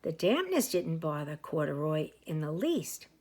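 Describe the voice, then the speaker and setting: A woman reading a picture book aloud as a teacher to young children, with expressive, drawn-out emphasis. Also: low-pitched, breathy edge. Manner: animated, with slow drawn-out emphasis; asides and explanations for young listeners.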